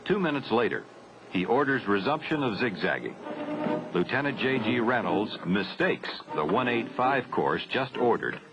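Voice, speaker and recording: A man's voice: mid-range voice, very animated, music in the background. Formal male narrator on an old film soundtrack. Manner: formal, measured, matter-of-fact. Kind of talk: scripted training film narration. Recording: dull 1970s film soundtrack.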